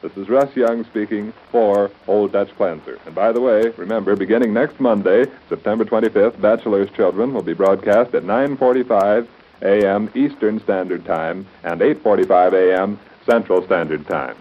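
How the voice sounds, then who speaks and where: A man's voice: lowish voice, normal speed. A man announcing a scripted 1930s radio commercial in a polished, persuasive sales delivery. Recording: muffled, narrow-band 1930s radio broadcast.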